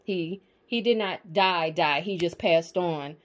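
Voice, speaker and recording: A woman's voice: lowish voice, slow pace. A woman in a casual monologue to her viewers, emphatic and profane, often checking in with the audience. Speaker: spiritual vlogger.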